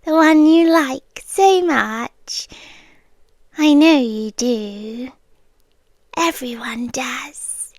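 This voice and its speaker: A woman voicing a sweetly menacing hive queen in an ASMR roleplay, talking softly and drifting in and out of whispers. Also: high-pitched, no echo. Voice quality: soft, slow, drifting between whisper and voice. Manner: coaxing, intimate, quietly threatening.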